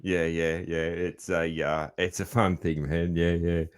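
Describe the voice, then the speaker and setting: A man hosting a podcast interview, laid-back and loud-voiced, laughing easily and calling people "man". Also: deep voice, flat delivery, measured pace, clear, resonant tone. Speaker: podcast host. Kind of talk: casual interview chat.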